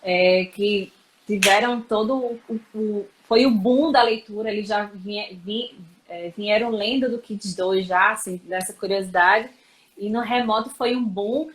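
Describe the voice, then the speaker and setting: A woman's voice: mid-range voice, a little slow, a little hiss or hum, poor audio. A Brazilian woman educator explaining school methods in a live-stream chat, with many fillers. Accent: Brazilian Portuguese.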